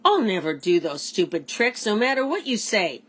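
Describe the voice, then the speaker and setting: A woman's voice: mid-range voice, sing-song, moderate pace, a bit bright, breathy edge. A woman voicing a bored, defiant young boy in a children's storybook. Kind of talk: children's storybook read-aloud.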